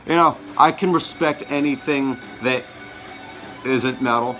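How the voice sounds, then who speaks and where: A man's voice: mid-range voice, unhurried, sharp tone, soft background music. Casual man rambling to his viewers. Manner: rambling, stumbles and corrects himself. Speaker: vlogger showing his collection. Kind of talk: casual chat to camera.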